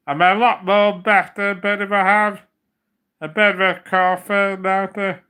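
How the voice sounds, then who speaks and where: A man's voice: sits high, level tone, unhurried, pushed and bright, clear, resonant tone. A man hosting a casual livestream, rambling and repeating himself, with a slight cough from a cold. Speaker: livestream host.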